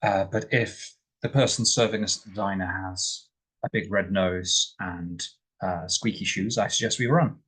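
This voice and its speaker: Guy as a careful wry traveller in a tabletop game, low slightly husky voice, kinda formal. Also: fairly even intonation, normal speed, a bit bright, rough recording. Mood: cautious, wry. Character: cautious, wry, formally spoken male traveller.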